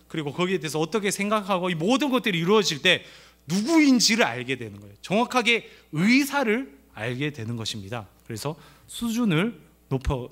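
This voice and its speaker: A middle-aged pastor from the Gyeongsang region, with a faint Gyeongsang accent, gives a church family seminar. His voice sits high and bright, and his pitch swings widely. He talks in a playful way and acts out the lines he quotes. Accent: light Gyeongsang. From Gyeongsang region of Korea.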